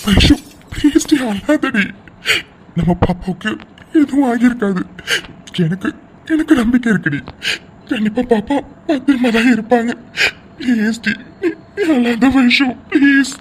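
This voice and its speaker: Woman playing high-pitched, tearful, pleading young husband. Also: expressive. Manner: pleading, halting, repeats words, tearful. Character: anxious young husband tenderly reassuring his distraught wife. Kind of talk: emotional audio drama acting. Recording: faint background noise.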